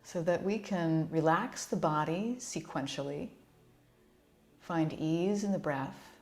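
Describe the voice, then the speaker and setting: A woman leading a guided yoga relaxation as an instructor, speaking in a soothing, gentle and unhurried way. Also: deep voice, faint music behind.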